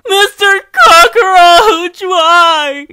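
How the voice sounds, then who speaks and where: A woman's voice: very high-pitched, extremely slow, heavy background noise, full character voice. A woman voicing a timid, whiny, panicky fourteen-year-old boy in an anime parody dub. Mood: whiny, scared.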